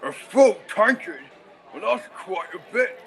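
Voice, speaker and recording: A man's voice: sits high, very animated, a little slow, fairly bright tone, exaggerated voice, low-quality recording. A teenage male actor playing an eager, boisterous, mead-loving traveler in a student fantasy radio drama. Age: teens. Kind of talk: student radio theatre acting.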